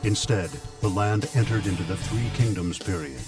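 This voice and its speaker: A man's voice: on the low side, normal speed, music in the background, close to an everyday voice. A man playing a solemn chronicler, delivering scripted storytelling narration for a video game. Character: solemn male chronicler of wars.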